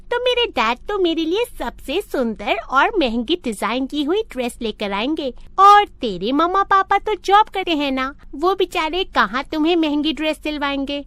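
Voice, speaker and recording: A woman plays a boastful rich little schoolgirl in a cartoon moral tale. The voice is very high, showy and condescending, recorded dry. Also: a bit bright, dry recording.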